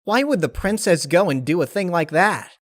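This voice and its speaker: A male narrator puts on a brash young pegasus mare from a storybook. The voice is pitched up, loud and direct, with casual, impulsive phrasing and a dry close sound. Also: lively intonation, normal speed, dry recording. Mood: shocked.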